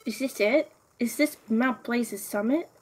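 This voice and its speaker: A woman voicing a small, earnest, excitable young water creature in an expressive way for a game story. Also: a little high, unhurried, husky. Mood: awed. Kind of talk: dramatic fan voice acting for a game story.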